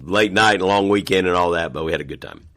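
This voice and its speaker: Deep-voiced, slightly hoarse pastor. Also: male voice, fast talker. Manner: relaxed, trailing off with fillers. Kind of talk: casual podcast chat.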